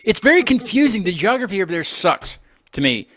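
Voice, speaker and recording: An American man hosting an interview show, chatting casually in a rambling, halting way. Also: high-pitched, very animated, a crowd in the background. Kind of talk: casual chat.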